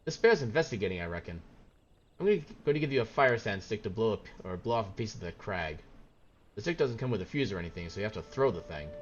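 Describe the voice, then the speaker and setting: A man reading the lines of an earnest fantasy game quest giver, in old-fashioned, measured phrasing over game music. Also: fairly low pitch, big pitch swings, lo-fi sound, a little hiss or hum. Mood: confiding.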